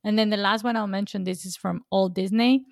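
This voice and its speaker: A woman's voice: mid-range voice, steady tone, fairly quick, vocal fry, darkish tone, dry recording, studio quality. Giggly woman co-hosting a podcast. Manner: giggly, chatty, laughs often. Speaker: podcast co-host. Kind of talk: casual podcast chat.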